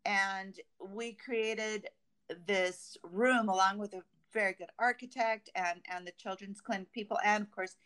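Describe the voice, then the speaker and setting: A woman with a clear, smooth voice at a middling pitch. She talks as an interview guest from a company, conversational and keen. She often pauses and restarts as she looks for the right word. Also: fairly even intonation, a bit bright. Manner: halting, searching for words. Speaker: guest, construction company representative. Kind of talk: conversational interview answers about company projects.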